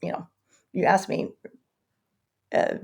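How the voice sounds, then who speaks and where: A woman answering as a podcast guest in conversation, speaking emphatically and often repeating words as she goes. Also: slow pace. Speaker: podcast guest, marketing executive.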